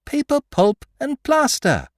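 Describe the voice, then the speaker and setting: A man voicing an excitable young male amateur detective, brash and mocking as he shows off his discovery. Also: medium pitch, a little slow, no room sound, clean studio sound. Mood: exultant.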